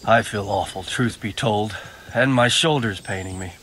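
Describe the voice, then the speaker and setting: A man playing a gruff, weary, wounded lord in a medieval video game. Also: fairly low pitch, moderate pace, fairly bright tone, a little hiss or hum, lightly acted. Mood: weary, pained. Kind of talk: video game dialogue acting.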